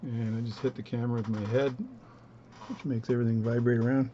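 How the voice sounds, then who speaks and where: A man with a low voice talking through his watch repair at a home workbench, relaxed and rambling as he narrates each step. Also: fast, dull audio, slight background noise. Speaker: watchmaking hobbyist demonstrating. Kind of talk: casual bench demonstration, talking while working.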